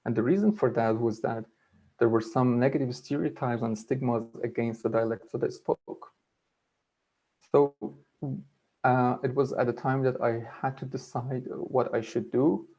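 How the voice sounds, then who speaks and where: A man's voice: medium pitch, dark tone. A man with a blended Persian and Kurdish accent, giving an earnest webinar talk and restarting words as he goes. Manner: earnest, halting with frequent restarts. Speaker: webinar speaker. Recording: dull lo-fi webinar audio.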